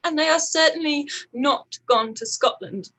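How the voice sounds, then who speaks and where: A woman acting a distressed, proud young gentlewoman in a period radio play, with emotional, halting delivery. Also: high-pitched, heavily acted, rough recording. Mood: anguished, despairing.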